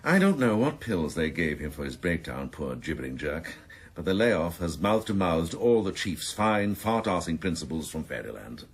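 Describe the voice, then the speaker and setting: An actor playing a cynical, scheming senior detective in a radio drama, talking with dry, sneering mock courtesy. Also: male voice, low-pitched, big pitch swings, normal speed, slightly rough, heavily acted. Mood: scornful. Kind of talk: 1990s radio drama acting.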